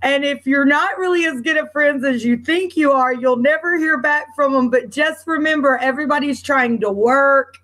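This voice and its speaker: High-voiced chatty woman hosting a book club. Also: moderate pace, hardly any pauses, fairly bright tone. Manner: chatty, rambling, laughs easily. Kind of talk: casual online panel chat.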